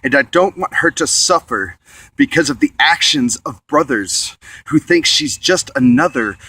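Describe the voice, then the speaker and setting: A man's voice: fairly high pitch, lively intonation, a little slow, bright, forceful tone, breathy edge, dry recording, low-quality recording. A man performing slam poetry as a poet, reciting rhythmic, rhymed verse in an earnest, declamatory way.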